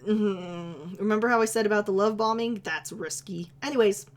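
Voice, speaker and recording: A woman's voice: mid-range voice, moderate pace. A woman streamer chatting casually with her viewers, rambling and giggling, her voice a little worn as if she is losing it. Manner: giggly, rambling, self-teasing.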